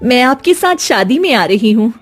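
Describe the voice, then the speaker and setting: A woman voicing a gentle, mistreated young wife in a cartoon story, speaking plaintively. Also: fairly high pitch, very animated, moderate pace, faint music behind. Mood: meek. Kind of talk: Hindi cartoon story dialogue.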